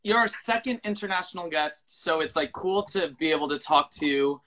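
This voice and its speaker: A podcast co-host asks questions in a chatty, easygoing manner. The voice is bright and forward, at a middle pitch. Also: animated, a little fast, poor audio. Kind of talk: casual interview.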